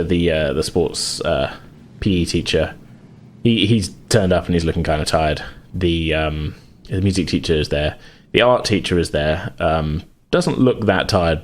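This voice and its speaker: A man's voice: sits low, slight background noise. A man narrating a tabletop game as game master, improvising in a hesitant, hedging way.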